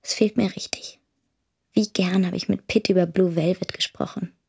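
Teenage girl, radio drama, wistful, confiding, breathy, gravelly edges. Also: on the low side, fairly even intonation, normal speed, warm tone, exaggerated voice. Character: wistful teenage girl missing her boyfriend.

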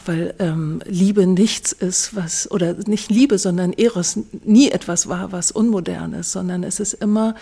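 A woman speaking reflectively in an interview, halting as she thinks aloud. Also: on the low side, gravelly, mellow, husky. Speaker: writer being interviewed.

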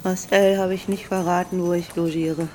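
A woman's voice: on the low side, flat delivery, moderate pace, noisy background, lightly acted. A woman acting a sick, blunt and bossy sister in a radio drama, dry and opinionated. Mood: weary, bossy.

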